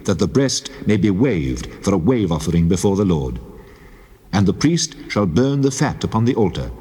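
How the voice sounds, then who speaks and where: A man playing the Lord God as a solemn, commanding lawgiver, declaiming in measured, resonant phrases in a dramatized scripture reading. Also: fairly low pitch, darkish tone, husky. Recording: long cavernous reverb.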